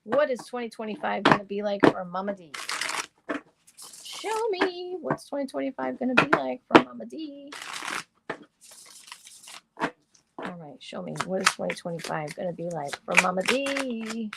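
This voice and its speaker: Chatty middle-aged woman, slightly hoarse. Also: a little high, sing-song, measured pace, pauses often, very noisy. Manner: rambling, chatty, many fillers and restarts. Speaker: astrology and tarot reader. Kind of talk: live-stream reading, chatting with viewers.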